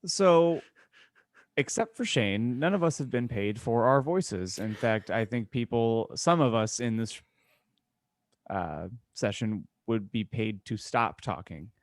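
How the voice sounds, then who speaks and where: Mid-pitched man co-hosting, dry recording. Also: lively intonation, slow pace, no room sound. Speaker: podcast co-host. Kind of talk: casual chat, explaining the show.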